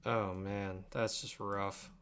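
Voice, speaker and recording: A man's voice: on the low side, level tone, takes it slowly, over music. A young man thinking aloud in a casual live stream as he works at his computer, distracted and prone to swearing. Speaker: video editor streaming live. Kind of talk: casual live-stream muttering while working. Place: indoor room at a computer desk. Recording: clicks and keyboard noise. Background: mouse clicks, keyboard typing.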